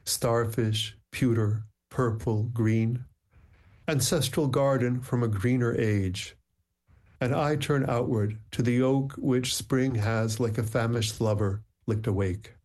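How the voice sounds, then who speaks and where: A middle-aged man with a mid-pitched voice speaks in a measured, reflective way. In conversation he hesitates with soft ums. When he reads his poems he slows right down and lets each line settle. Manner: measured, reflective, many ums when talking, slow deliberate reading. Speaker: poet, interview guest. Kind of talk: conversation and slow poetry reading.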